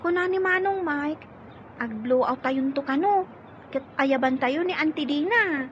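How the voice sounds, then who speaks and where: A woman's voice: sits high, measured pace, slight background noise. A woman with an Ilocano Filipino accent playing a cheerful, eager young little sister in an audio drama. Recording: dull lo-fi audio with music.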